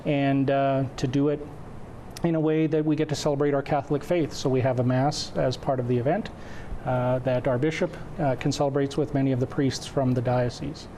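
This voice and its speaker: A man's voice: medium pitch, fairly even intonation, a little fast, warm tone, slight background noise. A man in his forties from western Canada whose first language is Croatian, speaking as an event organizer in an informal TV interview. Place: indoor TV studio. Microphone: lapel mic.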